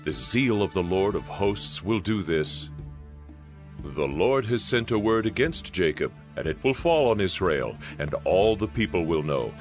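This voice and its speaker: Male Bible narrator, low-pitched, solemn and measured dramatic reading over soft music. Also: big pitch swings. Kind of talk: dramatized scripted scripture reading.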